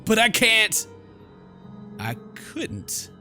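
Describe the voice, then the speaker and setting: High-pitched, animated male gaming streamer. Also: drawn-out words. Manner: theatrical, excitable, jokey. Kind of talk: horror game playthrough, dramatic read-aloud and casual commentary. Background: game music.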